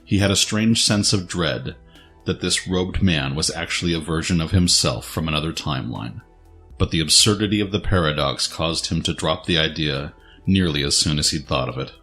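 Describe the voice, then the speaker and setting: A man's voice: a bass voice, moderate pace, pushed and bright, faint music behind. A man narrating a scripted dramatic story as its narrator.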